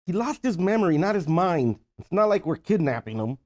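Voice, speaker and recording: A man acts out a brash, bossy buddy from a comedy script at a table read. He scolds and exclaims, with pitch that swings widely. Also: sing-song, a little fast, a little mellow. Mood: irritated.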